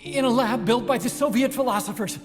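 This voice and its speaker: A man's voice: extremely high pitch, brisk. An actor playing an anxious male scientist informant in a video game, explaining technical matters. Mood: uneasy explaining. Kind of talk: dramatic video game dialogue. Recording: very loud music under voice.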